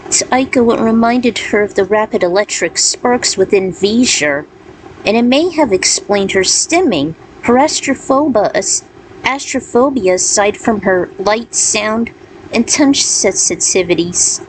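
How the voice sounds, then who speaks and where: A woman's voice: medium pitch, lively intonation, a little slow. A woman reading her own fan fiction and author's notes as a storyteller. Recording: clearly noisy background.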